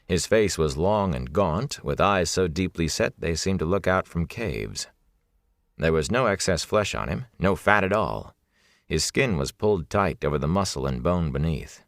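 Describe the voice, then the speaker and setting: A man's voice: low-pitched, no room sound. A man reading a fantasy novel as a scripted audiobook narration, close on the microphone.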